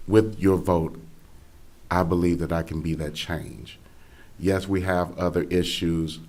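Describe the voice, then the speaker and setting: A man from Gary, Indiana, hoarse and raspy, giving an earnest, halting speech as a school board candidate at a forum. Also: low voice. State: hoarse from cheering.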